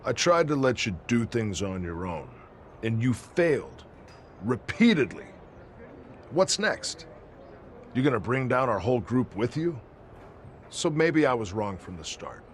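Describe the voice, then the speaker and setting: A man's voice: medium pitch, very animated, a little slow, long pauses, a little hiss or hum. A man playing a stern, commanding veteran wrestler who leads a faction, blunt and authoritative, in a video game cutscene. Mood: scornful. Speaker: wrestler, leader of a stable.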